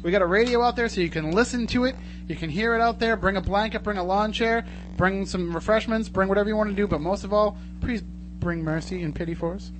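High-voiced man hosting radio, noisy hum. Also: quick pace. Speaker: radio show host. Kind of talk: casual live radio hosting. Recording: clear background hum and noise.

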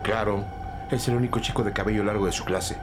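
A protective, middle-aged father acted by a man in an audio drama. He talks quickly and indignantly, agitated, with music playing behind him. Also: on the low side, steady tone, natural voice. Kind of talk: crime audio drama acting.

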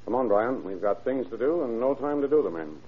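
An actor playing a calm, probing police lieutenant who questions politely and evenly in an old radio crime drama. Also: male voice, mid-range voice, fairly quick, husky. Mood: businesslike. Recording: muffled, lo-fi vintage radio recording.

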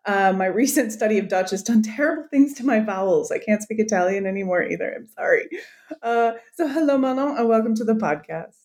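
A woman's voice: medium pitch, expressive, a little fast, darkish tone. A woman hosting an interview podcast, effusive and enthusiastic as she praises her guest's book. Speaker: podcast host.

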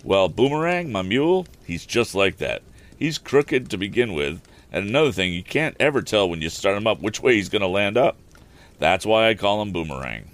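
Guy putting on a folksy older handyman, rambly and polite, deep voice jumping around. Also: sing-song, normal speed. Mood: folksy, deferential. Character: rustic older male mule-driving handyman.

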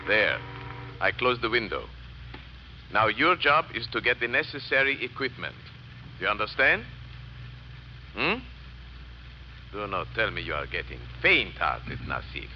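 A sinister conspirator issuing clipped, formal orders. His mid-pitched voice moves expressively with cold menace. It sounds like a tape played in a dull, faintly noisy old radio drama. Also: big pitch swings, a little slow, long pauses. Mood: menacing, commanding. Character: cold, menacing male plotter giving secret orders. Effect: played back from a tape recorder.

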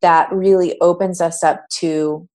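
A woman coach as a podcast guest, speaking in a reflective, warm way about her work. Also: lowish voice, steady tone, measured pace. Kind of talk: interview conversation.

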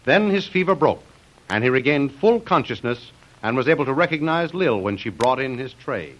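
A man's voice: mid-range voice, sing-song, moderate pace, fairly bright tone. A man narrating and announcing a 1930s radio serial in a dramatic, declamatory style. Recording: dull vintage radio recording. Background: music.

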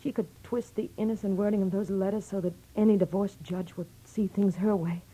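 An actress playing an anxious, lovestruck girlfriend in a 1940s-style radio detective drama, with a soft, breathy voice. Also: medium pitch, steady tone, brisk, lightly acted. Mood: bitter. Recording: dull-toned old radio drama.

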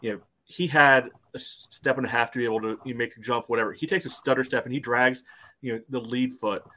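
A podcast co-host arguing a soccer call, with "you know" between nearly every phrase. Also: male voice, mid-range voice, fairly even intonation, quick pace, pushed and bright, low-quality recording. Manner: loose, fills with "you know" often. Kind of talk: casual sports debate.

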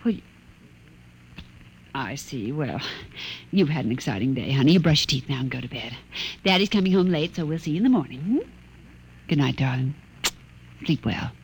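An actress playing a gentle young mother in an old radio drama, easily impressed. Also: extremely low pitch, animated, moderate pace, long pauses, a little hiss or hum, low-quality recording. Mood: soothing. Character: warm, fussing young mother. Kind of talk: 1950s radio drama acting.

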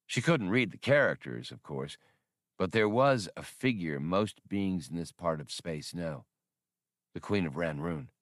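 A man voicing a portly, seasoned adventurer in an audiobook, steady and gruffly matter-of-fact. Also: low voice, unhurried, no echo, studio quality. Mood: grim recounting.

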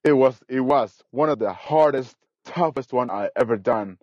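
Earnest halting man from Guatemala. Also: medium pitch, darkish tone, no echo. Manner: earnest, repetitive, halting phrasing. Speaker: guest. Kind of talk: casual interview storytelling. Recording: dry, dull lo-fi sound.

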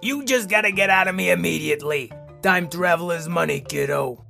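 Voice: mid-range voice, fairly quick, gravelly, pushed and bright, soft background music. An actor voicing a brash, rude talking taxi in an audio drama, brusque and wisecracking. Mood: brash, impatient.

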